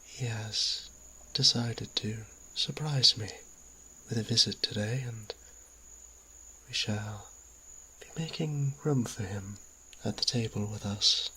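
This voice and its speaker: Man playing Otavon, a husky, gentle vampire priest. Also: fairly low pitch, slow pace, exaggerated voice, low-quality recording. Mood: flustered, awkward. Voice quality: husky, breathy. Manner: hesitant, flustered, politely formal. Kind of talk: scripted audio roleplay acting.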